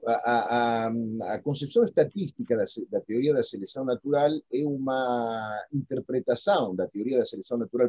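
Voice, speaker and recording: Middle-aged male academic, native Argentine Spanish accent, dark mellow tone, raspy, halting and self-correcting delivery. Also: mid-range voice. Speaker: philosophy professor, interview guest. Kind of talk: conversational academic explanation. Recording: dull lo-fi audio.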